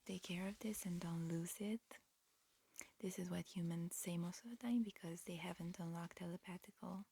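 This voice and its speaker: A woman performing a whispered ASMR roleplay, mixing breathy whispers with soft speech in a halting, matter-of-fact, instructional manner. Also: lowish voice, fairly even intonation. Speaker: ASMR performer playing an alien examiner.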